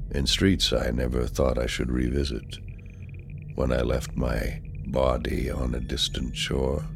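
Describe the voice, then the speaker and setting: A man giving a solemn poetry reading in a measured, deliberate manner, pausing between phrases. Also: low-pitched, vocal fry, slight background noise. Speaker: poetry reader.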